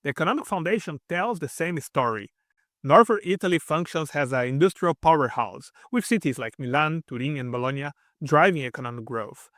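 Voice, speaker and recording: A man's voice: on the high side, animated, brisk, a bit bright, no echo, studio quality. A man hosting a video channel, narrating a prepared script in slightly non-native English, earnest and explanatory, talking straight to his viewers and repeating key advice for emphasis. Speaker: expat channel host.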